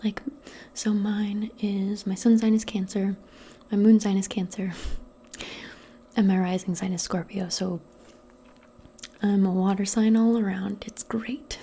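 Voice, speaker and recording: A woman role-playing in soft-spoken ASMR, speaking gently and soothingly in a breathy, mostly whispered voice. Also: medium pitch, little pitch movement. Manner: gentle, soothing, unhurried, conversational. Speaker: ASMR performer role-playing a modern witch.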